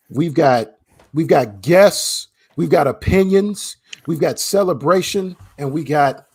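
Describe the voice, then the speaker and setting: A man's voice: fairly high pitch, darkish tone, husky. A man co-hosting a live talk show, teasing his guests in a playful way. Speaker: co-host. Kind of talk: live casual chat.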